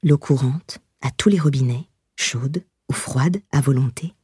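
Woman, audiobook narrator, very husky and breathy, mellow dark timbre, measured expressive reading, scripted memoir narration. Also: a bass voice, dry recording, studio quality.

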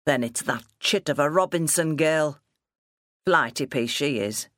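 A woman's voice: low-pitched, measured pace, a bit bright, dry recording, studio quality. A woman voicing a stern, gaunt farmer father in an audiobook, with a growling, sarcastic manner and rustic dialect. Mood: suspicious, scornful. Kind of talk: audiobook character dialogue.

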